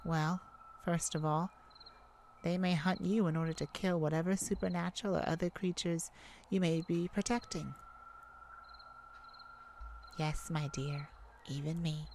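Lovesick wounded vampire woman acting, ASMR roleplay, very slow, halting, emotional, faint cricket-filled night ambience. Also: sits low, fairly even intonation, darkish tone, a little hiss or hum. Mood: wary, sombre. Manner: hesitant, emotional, halting with sighs and pauses. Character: tender, wounded, lovesick vampire speaking to a hunter. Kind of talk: ASMR roleplay, intimate dramatic monologue.

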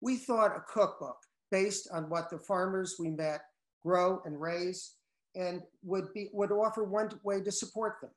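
A man's voice: high-pitched, a little slow. Older man reading a talk, roomy echo. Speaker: cookbook co-author. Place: wood-paneled room at home. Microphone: laptop video call. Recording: echoey room on a video call.

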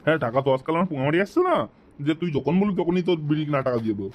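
A man's voice: on the high side, lively intonation, very fast, close to an everyday voice. A man voicing an exasperated, sarcastic, foul-mouthed friend in a comic cartoon. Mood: angry, grumbling.

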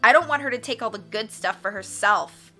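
A spoiled little girl from a storybook , as a woman reader puts her on. Her voice is high and very sharp. She whines and demands like a brat used to getting her way. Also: expressive, fairly quick, faint music behind. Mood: demanding. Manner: whining, demanding.

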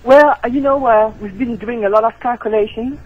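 A woman with a French accent, a native French speaker, calling in as a guest author on a radio interview and explaining things earnestly and excitedly. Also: fairly high pitch, a little fast, a little hiss or hum. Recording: tinny phone line.

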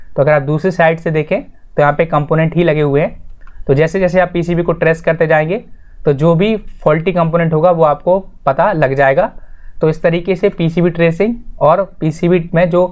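A man's voice: a little high, steady tone, moderate pace, darkish tone. A man hosting an informal explanatory voice-over lesson, addressing viewers directly in an instructional way and restating his points. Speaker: tutorial host.

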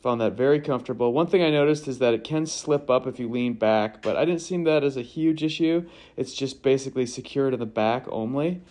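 A man's voice: medium pitch, darkish tone. A man narrating a walkthrough of a kayak's features in an easygoing manner, listing them in short phrases. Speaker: kayak reviewer.

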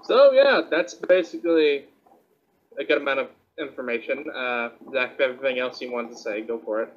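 A man chats in a plain, even way as a co-host, coming through muffled lo-fi call audio. His pitch sits mid-range, and he passes along news with easy filler words. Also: brisk. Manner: plain, reads announcements aloud while gaming. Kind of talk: casual chat over a call.

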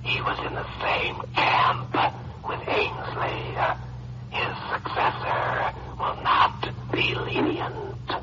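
Sinister, very slow whispering informant. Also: male voice, bright, forceful tone. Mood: cold, menacing. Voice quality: hoarse voiceless whisper. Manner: slow, halting, clipped reporting. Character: sinister whispering male crime-syndicate informant. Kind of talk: 1950s radio acting. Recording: noisy, dull old radio recording.

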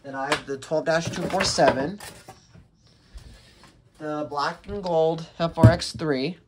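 A man's voice: a little high, steady tone, slow pace. Man rambling casually over noisy background. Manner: hesitant, rambling, often restarting sentences. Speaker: vlogger unboxing radios. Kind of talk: casual rambling unboxing commentary. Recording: noisy home recording with handling clicks.

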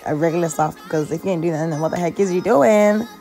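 A woman's voice: on the low side, fast talker, mellow, faint music behind. A woman in her early twenties with a London accent, chatting casually in a slangy, playful way as she narrates a vlog. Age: early 20s. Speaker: vlogger.

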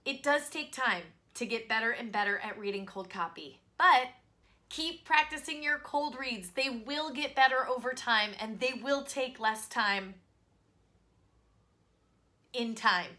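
A woman in her thirties giving scripted instructional advice to camera as a voice-over coach, in an expressive presenter's delivery. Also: fairly high pitch, unhurried, long pauses. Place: small home studio, indoors.

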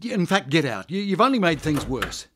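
A fussy public relations man played by a man frets over a crisis and leans on grand analogies. His voice sits a little high and swings animatedly up and down. A radio comedy performance. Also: big pitch swings, normal speed, a bit bright, a little hiss or hum. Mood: flustered. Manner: flustered, fussy, fond of metaphors. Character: flustered male PR manager, fond of analogies.

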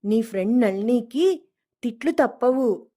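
A woman's voice: fairly high pitch, animated, a little slow, dark tone. A woman storyteller voicing a gossipy, alarmed schoolgirl who tattles dramatically in a children's story.

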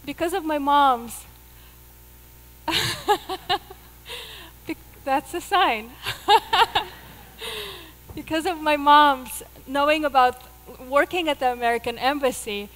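A woman's voice: high-pitched, slow pace, long pauses, a bit bright, big-room sound, poor audio. A woman in her thirties from Russia with a Russian accent, telling a personal story in an earnest way as a speaker on a theater stage, miked with a headset. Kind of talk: live stage talk.

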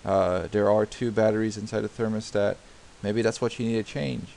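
A man with a lowish, gravelly voice narrates a do-it-yourself repair tutorial over a diagram. He explains patiently, step by step, with frequent fillers and restarted sentences. A faint hiss sits under the voice. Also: fairly even intonation, normal speed, a little mellow. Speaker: DIY home repair tutor. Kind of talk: unscripted screen-recorded tutorial narration.

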